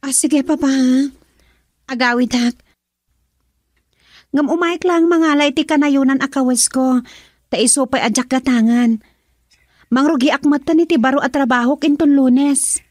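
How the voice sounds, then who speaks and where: A woman's voice: high-pitched, fairly even intonation, normal speed, long pauses, a little mellow, dry recording, clean studio sound, lightly acted. A woman acting a heartbroken young daughter in an Ilocano radio drama, with an Ilocano accent. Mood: sad, resigned.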